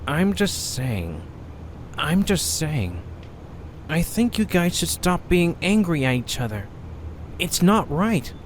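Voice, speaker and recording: Amateur audio drama: male actor as a gentle, clumsy little flyer, slow and soft-spoken, light hiss. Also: a little high, big pitch swings. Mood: coaxing. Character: small clumsy propeller-flying male creature, gentle.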